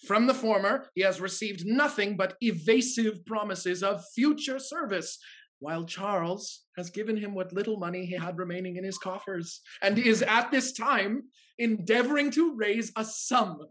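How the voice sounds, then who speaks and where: A man acting a loyal, earnest family steward in a period stage comedy, measured and articulate. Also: high-pitched, animated, fairly bright tone. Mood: explaining, confident. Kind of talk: theatrical period comedy acting over video call. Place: indoors, on a video call.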